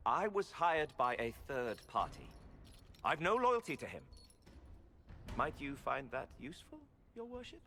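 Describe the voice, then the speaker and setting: Slimy smuggler begging mercy, swoopy voice. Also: male voice, mid-range voice, big pitch swings, measured pace, pushed and bright, slight background noise, full character voice. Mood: wheedling. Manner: ingratiating, theatrical. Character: slippery male smuggler mage, pleading.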